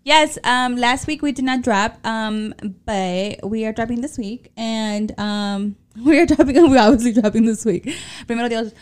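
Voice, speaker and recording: Late 20s mexican american girl hosting a podcast, kinda high voice, cusses a lot, mixes in spanish. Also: normal speed, few pauses, clean tone. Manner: casual, profane, laughing, switches into Spanish. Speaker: podcast co-host. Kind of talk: casual chat.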